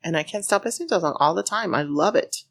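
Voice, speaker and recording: A chatty woman, co-hosting a casual podcast. Her voice sits a little low but swings widely in pitch as she jokes and laughs, slipping into Spanish now and then. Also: expressive, fast talker. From Cuba. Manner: chatty, laughs often, drops in Spanish phrases. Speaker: podcast co-host. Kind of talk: casual chat.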